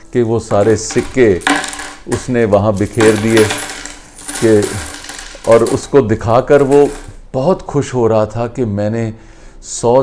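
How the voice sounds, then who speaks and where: A man with an Indian accent telling an inspirational moral story in an unhurried, explanatory, conversational way. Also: lowish voice, mellow, a little hiss or hum.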